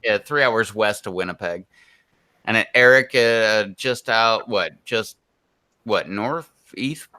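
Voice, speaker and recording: A man's voice: lowish voice, slow, pushed and bright, clear, resonant tone. A man in his forties chatting casually on a group stream, rambling and laid-back with plenty of fillers. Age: 40s.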